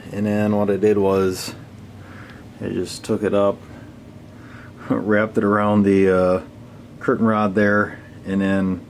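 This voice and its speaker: A man's voice: on the low side, a little mellow. A man narrating a hands-on radio hobby video in a casual, unscripted way, thinking aloud as he goes. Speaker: hobbyist demonstrating shortwave radio reception. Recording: heavy background noise, amateur camera recording.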